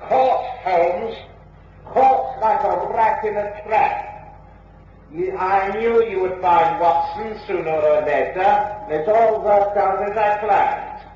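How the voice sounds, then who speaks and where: Taunting radio villain, high-pitched, very slow delivery, menacing triumph, distant and cavernous sound. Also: male voice, a little mellow. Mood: gloating menace. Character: gloating male villain springing a deadly trap. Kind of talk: classic radio drama acting. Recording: dull, very echoey, distant, faintly noisy radio recording.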